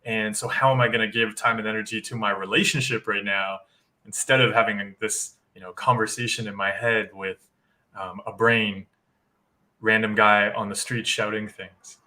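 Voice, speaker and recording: A man's voice: lowish voice, flat delivery, moderate pace, sharp tone. A man who is a guest on a live chat, explaining his ideas in a reflective, encouraging way.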